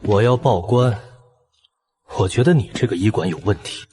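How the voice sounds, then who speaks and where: A man playing an unruffled young hero who tells his own story in an animated web drama, in a deadpan, sardonic manner. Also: fairly low pitch, moderate pace, a little hiss or hum, natural voice. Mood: suspicious. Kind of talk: first-person narration in animated web drama. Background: music.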